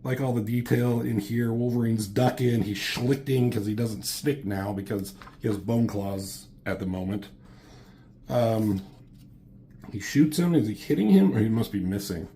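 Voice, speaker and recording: A man's voice: lowish voice, mellow, a little hiss or hum. Rambling man with a cold. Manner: loose, halting, many ums, casual swearing. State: getting over a cold, voice slightly off. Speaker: comic book reviewer. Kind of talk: casual rambling review. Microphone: camera mic.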